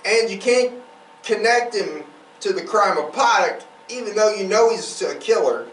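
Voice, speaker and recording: A man's voice: high voice, expressive, a little slow, a bit bright. A man hosting a true-crime podcast, telling cases informally with emphatic, opinionated commentary. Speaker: podcast host. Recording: roomy, distant mic, faint noise.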